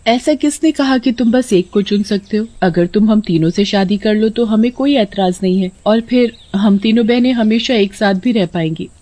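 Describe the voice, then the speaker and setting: A woman voicing sweet young sisters from the forest in a moral story, polite, gentle and earnest. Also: medium pitch, moderate pace, few pauses, pitched above the performer's own voice, natural voice.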